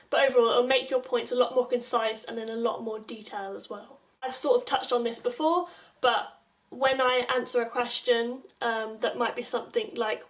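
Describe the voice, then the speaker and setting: A young woman giving informal advice to viewers in a measured, explanatory way. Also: a little high. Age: young adult. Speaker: advice video presenter. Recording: distant microphone.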